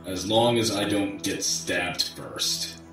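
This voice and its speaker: A weary male maintenance worker played by an actor in an audio drama, grumbling with dry sarcasm. His voice is fairly low and slow, and it echoes heavily as if down a tunnel. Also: over music. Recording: echoey tunnel, distant from the mic.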